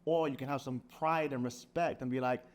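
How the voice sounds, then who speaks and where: A man's voice: mid-range voice, fairly even intonation, fairly quick. A young man in a casual business chat, talking in a motivational way with lots of "like" and "man". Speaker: sales partner.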